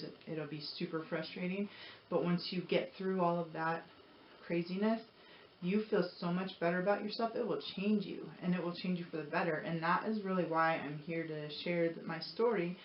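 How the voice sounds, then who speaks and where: A woman talking casually to viewers in an earnest, encouraging, rambling way, like a motivational vlogger. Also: fairly low pitch. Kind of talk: casual personal talk to viewers. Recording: roomy echo, dull lo-fi audio, noisy.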